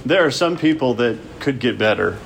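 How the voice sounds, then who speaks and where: A man leading a church group discussion in a casual, halting way, with many restarts. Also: mid-range voice, expressive, creaky, slight background noise.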